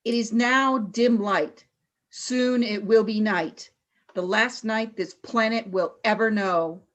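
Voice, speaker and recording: A woman acts out a female alien warrior leader in a radio play, cold and commanding. Her voice sits fairly high and she takes her time over each clipped, imperious order. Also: slow. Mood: cold, threatening.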